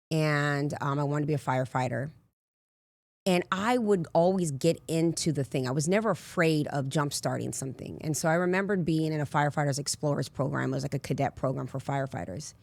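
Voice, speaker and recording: A raspy woman in her forties, a life coach telling candid personal stories in a casual interview. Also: low voice, no echo. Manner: candid, rambling storyteller with frequent asides. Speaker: life coach, podcast guest.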